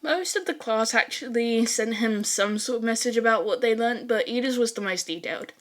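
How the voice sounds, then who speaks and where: A woman's voice: on the high side, fairly quick, a bit bright. A woman reading fan fiction aloud as a scripted story narration.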